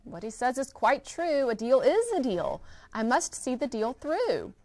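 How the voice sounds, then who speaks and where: A woman's voice: high voice, big pitch swings, a little slow, darkish tone, no room sound, voice pushed up. A woman reading aloud and voicing a tired, good-hearted male elephant. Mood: resolved. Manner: pleading and worn out.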